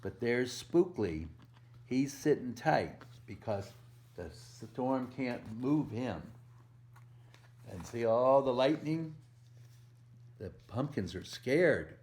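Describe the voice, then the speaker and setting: A man reads a picture book to small children at a very unhurried pace, in a mid-pitched voice. He leans on the rhymes and pauses to explain things simply or put a question to the young listeners. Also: big pitch swings, darkish tone. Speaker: volunteer children's story reader. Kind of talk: read-aloud picture book storytime for preschoolers.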